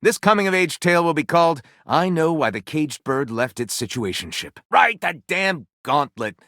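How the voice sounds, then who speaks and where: Male book critic, flamboyant, excitable, theatrical game dialogue, high-pitched, bright forceful tone, playful grand announcements. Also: normal speed, no echo, clean studio sound. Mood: wry, dramatic. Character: flamboyant, excitable male literary critic titling lurid books. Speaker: video game voice actor.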